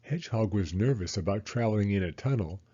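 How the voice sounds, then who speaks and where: A man reading a children's story aloud with expressive narration. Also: fairly low pitch, fairly quick, husky, lo-fi sound.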